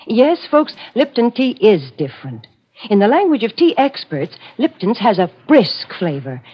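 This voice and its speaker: A prim, disapproving radio sidekick talks in a fairly high, animated voice. She is tart and salesy in the 1940s manner. The recording is dull and vintage. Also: unhurried, slightly rough, heavily acted. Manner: tart, then brightly salesy. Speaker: commercial spokeswoman. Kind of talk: 1940s radio banter and sponsor pitch.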